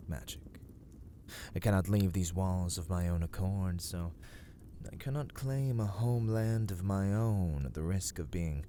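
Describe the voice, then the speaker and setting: An ancient, predatory vampire lord for an ASMR fantasy roleplay. His voice sits low and moves very slowly, with formal, theatrical phrasing that often sinks into whispers. He is imperious yet coldly courteous. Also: warm tone, a little hiss or hum. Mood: brooding. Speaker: voice actor in audio roleplay.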